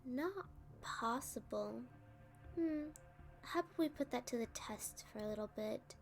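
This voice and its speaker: Sassy female supervillain for ASMR roleplay, acted by a woman: high-pitched, unhurried, smugly taunting. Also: animated, gravelly, faint music behind. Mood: playful, taunting. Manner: teasing, taunting, drawn-out delivery. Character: playful, sassy, smug young female supervillain.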